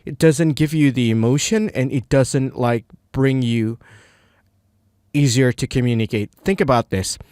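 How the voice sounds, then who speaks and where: Slow-talking Indonesian man, clear voice. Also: medium pitch, darkish tone, dry recording, studio quality. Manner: unscripted, many restarts and fillers. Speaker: language learner. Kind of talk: casual monologue.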